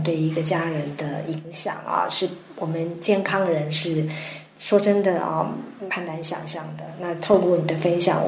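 A woman radio host talks conversationally, with a noticeably creaky edge to her lower-pitched voice. Her manner is reflective and empathetic. The recording is echoey, as if made in a room. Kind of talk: conversational radio interview hosting. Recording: roomy echo, faint background noise.

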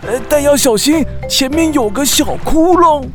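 A woman's voice: high-pitched, moderate pace, music in the background, natural voice. A woman voicing a kindly, encouraging male sea snail uncle in a children's audio drama, stammering and full of praise. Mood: admiring, encouraging.

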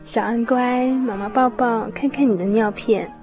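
A woman playing a caring, easily worried young mother of small children in a radio drama, gentle and motherly. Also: a little high, fairly even intonation, normal speed, natural voice. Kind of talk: radio drama acting with narration. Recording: music behind the voice.

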